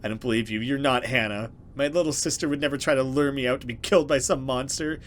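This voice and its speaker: A man's voice: mid-range voice, fairly even intonation, quick pace, a bit bright, a little hiss or hum, lightly acted. A man voicing a defiant, protective young woman, the resolute heroine of a horror visual novel.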